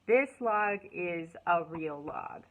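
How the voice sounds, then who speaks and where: A woman hosting a children's storytime, reading aloud and chatting in an animated, encouraging way with simple words for young listeners. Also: lowish voice, slow pace, phone-quality sound. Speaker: children's librarian and storytime host.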